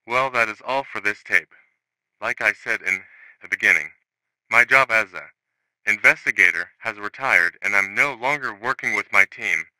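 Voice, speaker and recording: Analog horror narrator: male actor as grim retired investigator, formal report delivery, hard bright edge, dry close recording. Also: fairly low pitch, expressive, normal speed, no echo, low-quality recording. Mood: grim, detached.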